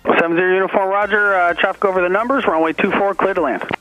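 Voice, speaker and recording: A man working as an air traffic controller, giving clipped radio instructions with the odd relaxed aside. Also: high-pitched, quick pace, muffled recording, faint music behind.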